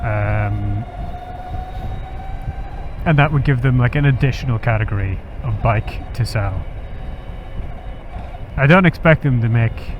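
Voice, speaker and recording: Muffled and set against heavy wind and vehicle noise, a man talks to himself in a casual riding monologue. He rambles and pauses as he thinks aloud, slightly sniffly, at an average male pitch. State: sniffly from cold air. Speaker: motorcycle vlogger. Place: outdoors, riding a motorcycle. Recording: muffled audio, loud wind and engine noise. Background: wind, road and motor vehicle noise.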